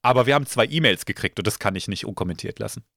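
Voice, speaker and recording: A slightly hoarse man hosting a casual podcast, relaxed and full of filler sounds. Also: on the low side, rapid-fire, no room sound, studio quality. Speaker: podcast host.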